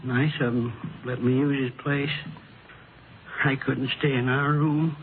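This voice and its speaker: A man's voice: mid-range voice, level tone, lightly acted. Man playing a grieving old widower, thin radio sound. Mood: weary, subdued. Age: older. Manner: halting, plaintive, broken up. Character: grieving elderly widower, dazed, tired and halting. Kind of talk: 1940s-50s radio drama acting. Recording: noisy, phone-like old radio recording.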